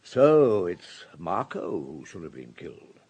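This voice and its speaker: An actor in a radio drama playing a senior police detective, questioning in a calm, measured, terse way. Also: male voice, low-pitched, breathy edge, full character voice. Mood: calm, probing.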